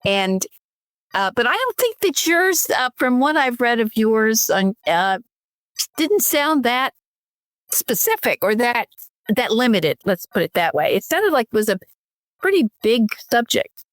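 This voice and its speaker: A woman's voice: a little high, very animated, a little slow, no echo, studio quality. A woman hosting a casual interview, friendly and rambling, often restarting her sentences. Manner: friendly, rambling, self-correcting. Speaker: podcast host.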